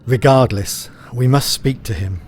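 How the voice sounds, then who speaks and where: A man's voice: medium pitch, unhurried, darkish tone, slight background noise, close to an everyday voice. An actor playing a courteous, resolute male asylum doctor, formal and commanding, in an audio drama. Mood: firm. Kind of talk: theatrical audio drama acting.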